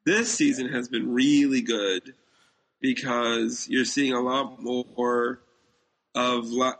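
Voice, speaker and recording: A man's voice: medium pitch, fairly even intonation, slow pace, a bit bright, breathy edge, low-quality recording. Blunt man with a mid-range voice. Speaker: podcast co-host. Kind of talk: casual opinionated chat.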